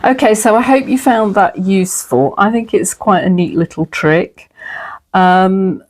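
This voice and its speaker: A woman's voice: medium pitch, measured pace. A middle-aged woman in her home sewing room, chatting casually through a sewing tip, a little throaty from a cold, with plenty of ums. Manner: chatty, self-deprecating, frequent ums. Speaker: sewing vlogger. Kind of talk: casual sewing tutorial and chat.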